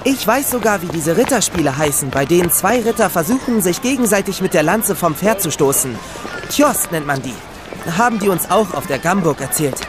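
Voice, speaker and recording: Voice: medium pitch, expressive, brisk, music in the background, natural voice. A performer playing an eager boy who loves knights and proudly shows off what he knows, in a children's audio drama. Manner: enthusiastic, showing off what he knows.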